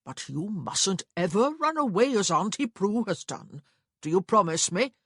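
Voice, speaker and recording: A woman's voice: mid-range voice, big pitch swings, measured pace, a bit bright, slightly rough, no echo, clean studio sound, voice pushed up, heavily acted. A woman voicing a fussy, anxious upper-class brother in an audiobook, with an earnest, blustering manner. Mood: firm, indulgent.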